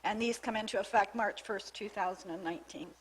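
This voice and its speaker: A woman's voice: medium pitch, brisk, breathy edge, rough recording. Woman losing her voice, briefing a council. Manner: methodical, reads out clauses with small restarts. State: losing her voice, with frequent coughs. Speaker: municipal staff member presenting to town council. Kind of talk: formal briefing on legislation. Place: council chamber at a public meeting.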